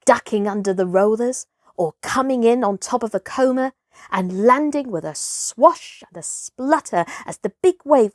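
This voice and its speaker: A woman reading a children's storybook aloud as host, in an expressive, theatrical narrating style. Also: fairly high pitch, no room sound, studio quality.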